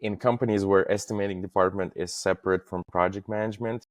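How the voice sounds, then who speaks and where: Low-voiced man, very even in pitch. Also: fast talker, no room sound, clean studio sound. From Estonia. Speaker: podcast guest, facade industry professional. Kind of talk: casual interview, recounting career.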